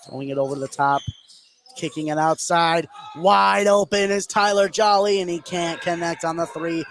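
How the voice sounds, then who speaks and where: A man calling live basketball play-by-play for radio, an excitable announcer whose voice climbs toward shouting on big plays. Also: a little high, moderate pace. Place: courtside in a school gym. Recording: clear gym noise behind the voice. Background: gymnasium ambience.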